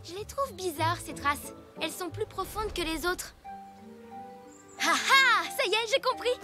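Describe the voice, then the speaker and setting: A confident, clever child in a cartoon. The voice is very high and self-assured, chatting along with music behind it. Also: female voice, animated, unhurried. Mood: curious, proud. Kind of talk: French animated cartoon dub acting.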